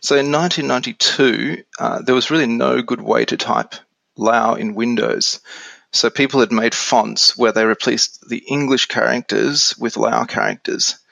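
An Australian man in his forties explaining things casually as an interview guest on software. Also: mid-range voice, fairly even intonation, normal speed, gravelly, no echo, rough recording. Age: 40s.

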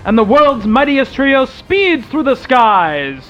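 A man's voice: very high-pitched, very animated, slow pace, a bit bright, lo-fi sound, faint music behind. A man narrating an amateur radio theatre piece in a dramatic, scripted style.

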